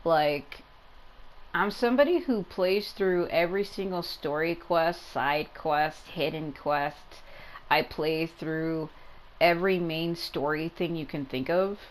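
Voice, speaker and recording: A woman's voice: sits low, takes it slowly. A woman giving casual gameplay commentary as a video game streamer, rambling and repeating herself for emphasis.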